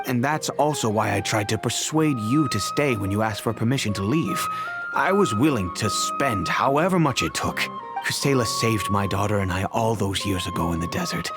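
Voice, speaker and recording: Game father, male actor: middle-aged man, lowish voice, earnest, measured, formal, clear music underneath. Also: animated, lightly acted. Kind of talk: video game voice acting.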